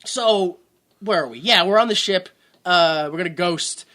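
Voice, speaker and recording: A man's voice: high voice, a little slow, pushed and bright. A man co-hosting a podcast, sarcastically recapping a movie in casual chat and mocking it with quoted voices. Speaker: podcast co-host.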